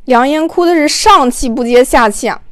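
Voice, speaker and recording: High-voiced woman narrating an audiobook. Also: moderate pace, dry recording, clean studio sound. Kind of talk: expressive scripted storytelling, conversational.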